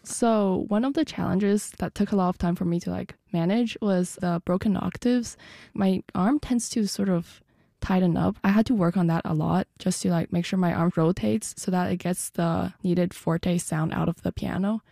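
Creaky, mellow-voiced teenage girl. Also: lowish voice, moderate pace, no echo, studio quality. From United States. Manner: youthful, informal. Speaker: guest, student classical pianist. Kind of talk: casual chat in an interview.

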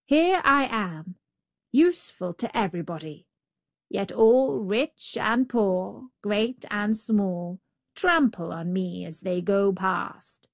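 A woman's voice: mid-range voice, expressive, slow, no room sound, clean studio sound. A woman voicing a talking road in a storybook, lofty, chiding and sorry for itself. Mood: scornful complaint. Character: scornful, self-pitying talking road. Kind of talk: storybook character voice.

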